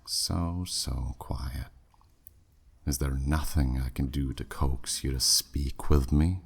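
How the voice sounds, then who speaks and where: A man's voice: steady tone, slow, full character voice. A man voicing a flirty, menacing demon who taunts a captive in a teasing, smug way, in a scripted ASMR roleplay. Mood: teasing, coaxing. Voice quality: very deep, husky, breathy at phrase ends. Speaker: audio roleplay performer.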